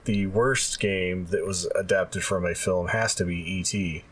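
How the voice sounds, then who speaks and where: A man's voice: low voice, steady tone, measured pace, over a noisy crowd. A man co-hosting a gaming podcast, chatting in a laid-back, informal way. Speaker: podcast co-host. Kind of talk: casual gaming podcast chat.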